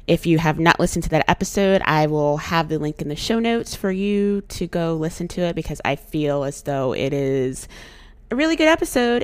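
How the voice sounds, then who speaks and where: Solo podcast host, young woman, low and a bit hoarse, earnest and outspoken, dry close-mic sound. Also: no room sound. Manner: earnest and outspoken, animated emphasis.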